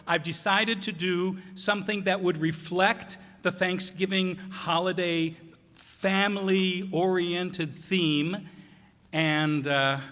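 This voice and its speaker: A man speaking informally and haltingly to an audience as a director announcing a show. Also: sits high, fairly even intonation, sharp tone. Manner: halting, throat-clearing. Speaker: director of community radio plays. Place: town hall stage before an audience. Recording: echoey hall, long reverb.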